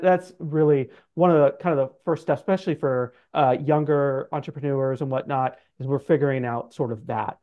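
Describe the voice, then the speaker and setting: Higher-voiced man explaining, slightly dull audio. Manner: informal, hedging with like and sort of. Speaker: guest, financial adviser. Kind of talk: conversational explaining. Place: office room, indoors. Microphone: video call. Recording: dull video-call audio.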